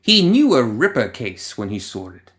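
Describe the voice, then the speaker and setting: A man reading a story as a dramatic narrator, musing theatrically with deliberate pauses and rhetorical asides. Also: medium pitch, wildly swooping pitch, fairly bright tone. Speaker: audio fiction reader.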